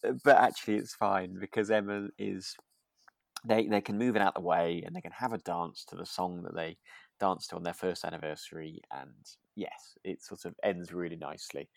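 A man's voice: low-pitched, steady tone, moderate pace, creaky, dry recording. A British man co-hosting a podcast, chatting casually about a radio drama in a chatty, giggly way. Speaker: podcast co-host.

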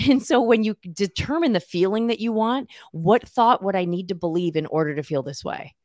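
A woman in her forties, a life coach, explaining her approach earnestly in a relaxed interview. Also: medium pitch, moderate pace, no echo, clean studio sound. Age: 40s. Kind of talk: casual interview chat.

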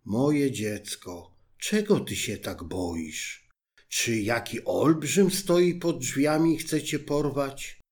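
A man voicing a stern old king in a fairy tale, speaking slowly and gravely. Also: deep voice, big pitch swings, pitched below the performer's own voice. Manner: slow, measured and grave.

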